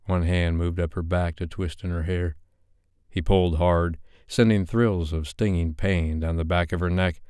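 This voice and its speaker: A man's voice: low-pitched, darkish tone, clear, resonant tone, no echo, studio quality. A man narrating a romance audiobook in a scripted, dramatic storytelling style.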